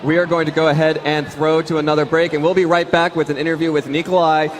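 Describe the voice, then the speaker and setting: A man giving live play-by-play commentary at a competitive gaming tournament, calling the action with rising excitement. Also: on the high side, quick pace. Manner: excited calls, building to big announcements. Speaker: esports commentator. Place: event arena. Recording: loud crowd and music under voice. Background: cheering crowd and music.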